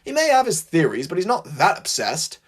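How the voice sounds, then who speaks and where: A narrator voicing an earnest, outspoken male tank engine with an excitable, emphatic manner. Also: medium pitch, a little fast, husky, voice pushed up. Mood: defensive.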